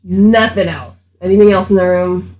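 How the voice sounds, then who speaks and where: Slangy, jokey tabletop game player, mid-pitched. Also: steady tone, normal speed, a little mellow, poor audio. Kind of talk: casual tabletop game banter.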